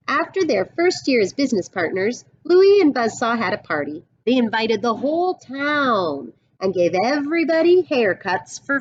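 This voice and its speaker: A middle-aged woman reading a picture book aloud expressively, with dramatic pauses and explanatory asides. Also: mid-range voice, lo-fi sound, slight background noise.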